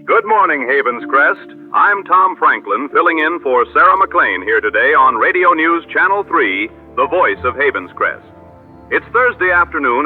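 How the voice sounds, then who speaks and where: An actor playing a cheerful small-town radio field reporter with an American accent, giving a local news report. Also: male voice, fairly high pitch, husky. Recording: phone-like radio band, music behind.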